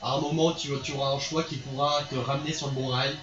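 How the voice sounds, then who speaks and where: A man's voice: mid-range voice, steady tone, quick pace. A man chatting casually during a game stream, guiding and teasing a friend in an informal way. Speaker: streamer guiding a friend through a visual novel. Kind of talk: casual live gaming banter with read-out dialogue. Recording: echoey room with music behind.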